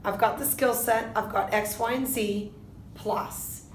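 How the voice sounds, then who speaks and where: A woman in her fifties, born in Argentina, answering interview questions in a measured way, often posing a question to herself and then answering it. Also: medium pitch, steady tone, roomy sound, slight background noise. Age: 50s. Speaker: interviewee, lawyer.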